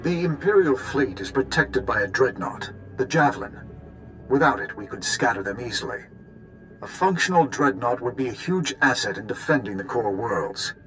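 A man's voice: medium pitch, lively intonation, normal speed, fairly bright tone, faint music behind, natural voice. An actor playing a grave, commanding older statesman in a video game, formal and authoritative. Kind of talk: video game cutscene acting.

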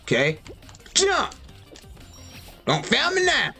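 A young man casually commentating his gameplay, talking to himself in slang and yelping at the game, recorded on a desk mic with faint game music behind. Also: slow. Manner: excitable, yelps high and loud at the game. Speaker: gaming streamer. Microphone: desk mic with webcam.

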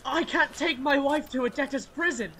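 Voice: high-pitched, normal speed, a bit bright. A performer playing a timid, pleading man in debt in a radio drama, stammering and deferential. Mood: anxious, pleading. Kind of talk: stage-style radio drama acting.

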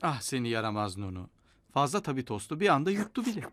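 A man narrating a dubbed children's cartoon in a gentle storybook manner, counting things aloud. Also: medium pitch, sing-song, fast, a little hiss or hum.

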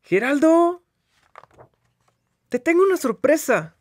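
Guy doing a little lady piglet for a storybook, high pitched, gentle and patient. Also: very animated, slow pace, no echo, clean studio sound, full character voice. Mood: cheerful. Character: small cheerful female pig, patient friend. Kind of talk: picture book read aloud for children.

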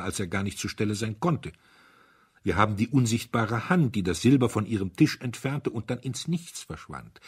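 Storybook casting: male reader as gentle priest sleuth, musing and deliberate, husky voice with sweeping intonation. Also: mid-range voice. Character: gentle, thoughtful male priest and amateur detective explaining a crime. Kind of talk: dramatized storybook monologue.

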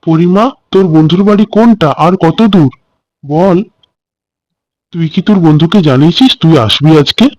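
A man's voice: a little high, moderate pace, no echo, highs cut off, natural voice. A man playing a kindly, doting village father in a children's cartoon, chatty and affectionate. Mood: gentle. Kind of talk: Bengali children's cartoon story dialogue.

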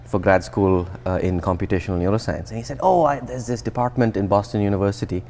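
A man in his thirties from India, a researcher explaining his path in a relaxed, thoughtful interview, often restarting sentences. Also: lowish voice, quick pace, gravelly. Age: 30s. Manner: thoughtful, with frequent restarts and fillers. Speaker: guest researcher. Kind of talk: conversational interview. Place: indoor atrium with plants and glass. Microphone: lapel.

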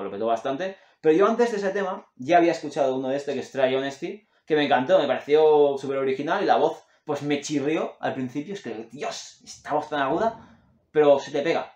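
A man's voice: mid-range voice, darkish tone. A man, chatting casually and rambling enthusiastically as he recommends music to the camera at his desk. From Catalonia, Spain. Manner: rambling, enthusiastic, self-correcting, gestures with his hands. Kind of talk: casual chat. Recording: roomy echo in a home room.

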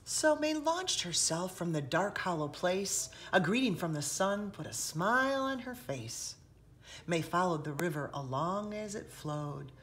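A woman reading a picture book aloud expressively, with dramatic hushed passages and emphatic rises, recorded indoors by a camera. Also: lowish voice, slight background noise. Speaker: children's author reading aloud. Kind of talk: expressive storybook read-aloud. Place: indoors, seated on a sofa in a room.

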